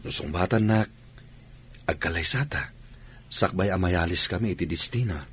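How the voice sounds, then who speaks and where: Give me an actor as a low-pitched lovestruck Filipino suitor. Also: male voice, normal speed, a bit bright, slight background noise. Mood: earnest pleading. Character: insistent lovestruck man, pleading suitor. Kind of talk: Filipino radio drama acting.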